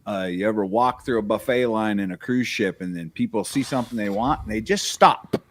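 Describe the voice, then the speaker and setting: A man's voice: on the low side, brisk, fairly bright tone. A man co-hosting a casual podcast, making joking, sarcastic quips. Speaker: podcast co-host.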